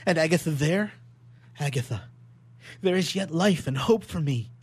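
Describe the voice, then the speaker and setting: An actor playing an anguished, horror-stricken young scientist in a melodramatic radio play, declaiming in a halting, overwrought way. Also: male voice, a little high, lively intonation, slightly rough. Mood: longing, agitated. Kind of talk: melodramatic 19th-century stage acting in radio theatre.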